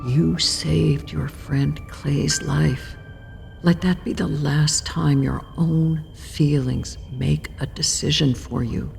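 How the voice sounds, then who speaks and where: An actress playing a solemn, ageless female keeper of death in a horror audio drama, gentle but resolute, with a gravelly, deliberate delivery. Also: deep voice, steady tone, heavily acted. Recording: faint music underneath.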